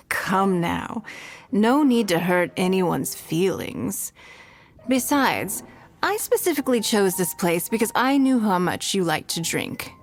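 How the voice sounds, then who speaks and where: A woman's voice: medium pitch, very animated, slow pace. An actress playing a refined, coolly teasing lady in a video game, with an elegant, composed manner.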